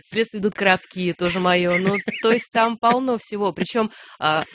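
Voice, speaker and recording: A young adult woman from Novosibirsk, a radio news presenter chatting on air, self-deprecating and often laughing, with halting fillers. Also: medium pitch, background noise. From Novosibirsk, Russia. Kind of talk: casual on-air chat.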